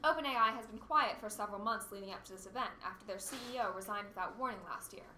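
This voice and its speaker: A woman's voice: medium pitch, fast, bright, forceful tone, lightly acted. A woman playing a businesslike, blunt and impatient radio news anchor in an amateur radio play. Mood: newsy, composed. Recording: roomy echo, background noise and ambience.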